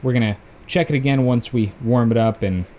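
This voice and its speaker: A man co-hosting a garage engine build, joking around in relaxed banter. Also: mid-range voice, brisk, highs cut off, slight background noise. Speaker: co-host. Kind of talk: casual workshop chat. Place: garage workshop.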